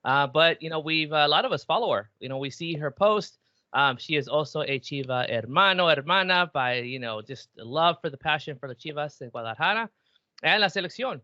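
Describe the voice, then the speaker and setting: A man's voice: on the high side, expressive, brisk, sharp tone, no echo, lo-fi sound. A man in his forties hosting a casual podcast, playfully teasing and mixing Spanish phrases into his English. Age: 40s. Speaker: podcast host. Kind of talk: casual bilingual podcast chat.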